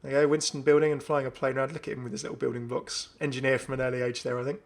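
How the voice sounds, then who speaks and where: A man's voice: mid-range voice, little pitch movement, very fast, a little hiss or hum. Chatty man hosting a gaming video. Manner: chatty, musing aloud, asks himself questions. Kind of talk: casual off-the-cuff commentary on game cosmetics.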